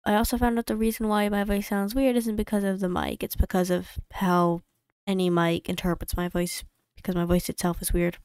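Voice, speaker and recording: A woman's voice: medium pitch, level tone, a little mellow, smooth, no room sound. A woman narrating her game playthrough in a casual voice-over from a home desk mic, rambling and correcting herself as she goes. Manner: rambling, self-correcting, quick asides. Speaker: YouTube creator.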